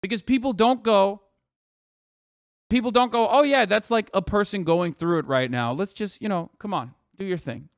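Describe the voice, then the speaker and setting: A man live streaming, reacting to tweets and lecturing his chat in a profane, animated way full of rhetorical "okay?" asides. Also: high voice, moderate pace, no room sound, clean studio sound.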